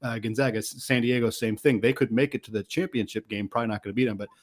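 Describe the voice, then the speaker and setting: A man's voice: mid-range voice, steady tone, fast talker. A man with a mid-range voice talks sports as a podcast host. His delivery is casual and analytical. He strings thoughts into long runs, adding qualifiers as he goes.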